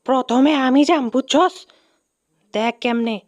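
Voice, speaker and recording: A performer voicing a bossy, boastful, easily panicked cartoon mouse uncle in colloquial Bangladeshi speech, excitable and repetitive. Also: sits high, slow, no room sound, muffled recording. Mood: boastful, instructing. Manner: excitable, repeats words when panicking. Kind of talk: comic cartoon dub.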